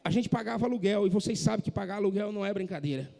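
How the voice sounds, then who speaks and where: High-voiced preacher, gravelly, echoing hall. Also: male voice, racing. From Northeast Brazil, Maranhão. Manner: impassioned preaching, rising to shouts. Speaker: pastor. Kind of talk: sermon. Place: church hall. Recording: echoey church hall.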